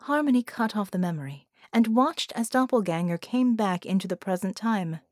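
A woman's voice: medium pitch, lively intonation, normal speed, no room sound. A woman reading scripted fiction narration as an audiobook narrator, recorded close.

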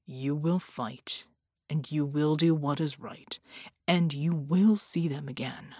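A woman voicing a gruff but gentle, stoic man in an audiobook, in a low, measured murmur. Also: dry recording, clean studio sound. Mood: solemn, resolute. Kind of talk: audiobook character dialogue.

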